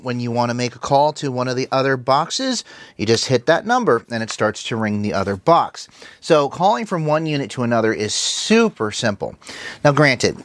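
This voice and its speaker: A man's voice: medium pitch, dry recording. A man giving a casual, conversational product review to viewers, explaining things plainly as he goes. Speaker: tech reviewer, video host.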